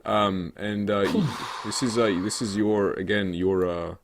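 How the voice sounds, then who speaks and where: A man's voice: on the low side, fairly even intonation, unhurried, background noise. A man hosting a casual gaming podcast in unscripted chat, hesitant in his phrasing and dryly joking. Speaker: podcast host.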